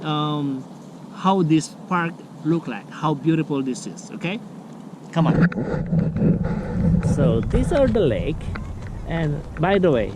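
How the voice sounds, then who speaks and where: A middle-aged man speaks English as a second language, chatty and halting, with a slightly raised voice. He is recorded by a camera on a lakeside park table, with clear outdoor noise behind him. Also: fairly high pitch, expressive. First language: not English. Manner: chatty, halting, with many restarts and fillers. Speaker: vlog host. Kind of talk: casual family vlog chat.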